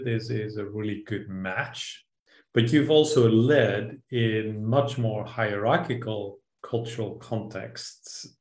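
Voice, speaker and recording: A man asks probing interview questions as a podcast co-host. His voice is gravelly, and the recording carries a roomy echo. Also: fairly low pitch.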